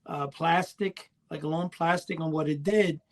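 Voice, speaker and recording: A man with a distinctly high voice talks as a podcast co-host. He explains things in a casual, meandering way, often backing up to correct his own words. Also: steady tone, moderate pace. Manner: rambling, self-correcting.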